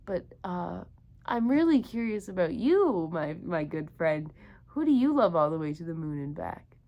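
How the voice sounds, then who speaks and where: A woman's voice: fairly low pitch, very animated, normal speed, muffled recording. A woman reading a picture book aloud to children, full of gushing wonder and talking directly to her young listeners.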